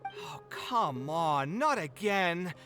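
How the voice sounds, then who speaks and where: A man's voice: fairly high pitch, big pitch swings, extremely slow, over music, full character voice. A man voicing a proud, touchy young architect in a video game, exasperated and indignant as he argues. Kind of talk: game voice acting.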